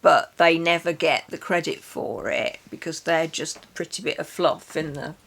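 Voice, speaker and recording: A woman's voice: low voice, moderate pace, gravelly, a bit bright. A British woman chatting casually about literature as a host, with a wry, opinionated way of talking. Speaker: host of a poetry reading and book chat.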